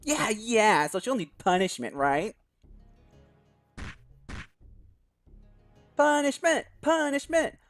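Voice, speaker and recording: A man's voice: very high-pitched, very animated, measured pace, fairly bright tone, faint music behind, voice pushed up, exaggerated voice. A man voicing a cocky, mocking teenage schoolboy bully in a visual novel read-aloud. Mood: taunting. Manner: taunting, smug.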